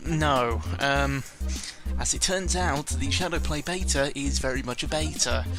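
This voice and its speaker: Self-deprecating man rambling over loud music. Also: medium pitch, vocal fry. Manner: self-deprecating, sarcastic, sweary; halting, often stumbling over words. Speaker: YouTuber recording an audio log. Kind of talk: casual rambling chat. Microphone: close microphone with pop filter. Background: background music.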